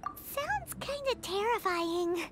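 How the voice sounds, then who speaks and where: A woman voicing a small, childlike floating fairy companion in a video game, squeaky, excitable and easily indignant. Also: slow, noisy background, full character voice. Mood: wary. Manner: speaks of herself in third person, exclaiming.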